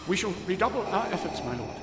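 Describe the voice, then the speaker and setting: An actor playing an officious, anxious imperial commander in a radio drama, formal, clipped and defensive. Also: male voice, sits high, very animated, fast, background noise. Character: officious imperial commander, haughty yet anxious before his master. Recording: roomy echo, loud orchestral music underneath.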